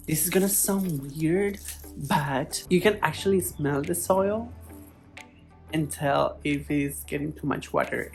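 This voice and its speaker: A woman's voice: fairly low pitch, gravelly, darkish tone. A woman with a foreign accent in English, chatting casually and a little hesitantly in a playful, informal vlog style. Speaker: plant vlogger. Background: music.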